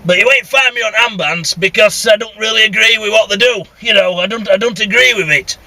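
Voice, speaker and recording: High, bright-voiced Northern English man grumbling. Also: fairly quick, no room sound. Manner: grumbling, blunt, sweary. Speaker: CB radio vlogger. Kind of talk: casual rambling monologue while driving. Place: inside a vehicle.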